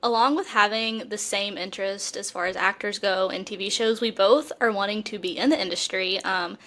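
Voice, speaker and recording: A woman's voice: mid-range voice, steady tone, a little fast, a bit bright. A young woman co-hosting a podcast in casual, chatty conversation full of colloquial fangirl slang. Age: young adult. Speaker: podcast co-host.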